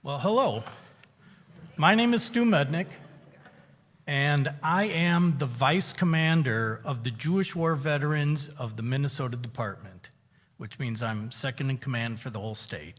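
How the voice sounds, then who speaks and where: A middle-aged man, a veteran guest speaker, giving a measured, conversational reflection at a synagogue service. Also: medium pitch, lively intonation, a bit bright. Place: synagogue sanctuary. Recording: reverberant hall.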